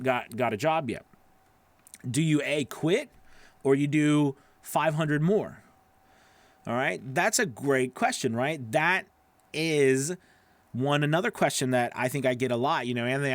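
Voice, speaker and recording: A man's voice: medium pitch, no room sound. Conversational male voice-over coach, dry close sound. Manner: conversational, explanatory, frequent "right?" and "okay" check-ins. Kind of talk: informal tutorial talk to camera. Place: small home studio with acoustic panels, indoors. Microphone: close broadcast microphone on boom arm.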